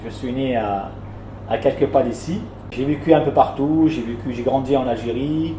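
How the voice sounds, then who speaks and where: A man with a mellow, dark voice answers interview questions about his work. He stumbles and repeats words as he feels his way through each thought. The room echoes around him, with faint noise behind. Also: mid-range voice, normal speed. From France. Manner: hesitant, repeats words often, warm and plain. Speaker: restaurant owner.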